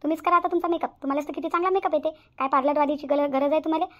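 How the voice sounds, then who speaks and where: Woman acting fast, high-pitched blunt sister-in-law. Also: fairly even intonation, natural voice. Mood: fussing. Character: blunt, quarrelsome young sister-in-law at a family engagement. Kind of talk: Marathi family comedy cartoon dialogue. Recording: slightly dull lo-fi audio.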